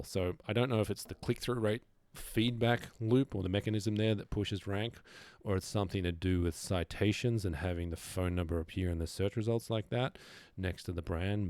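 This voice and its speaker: A man's voice: on the low side, a little mellow, clear, resonant tone, no echo. A man presenting an informal screen-share tutorial, explaining things casually and thinking aloud as he goes.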